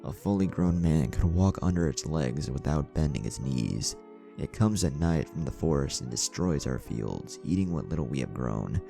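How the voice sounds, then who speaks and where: A man's voice: very deep voice, animated, vocal fry, warm tone, faint music behind, heavily acted. A man voicing a polite, grave elderly village leader in a storybook reading, speaking formally. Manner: formal, measured wording.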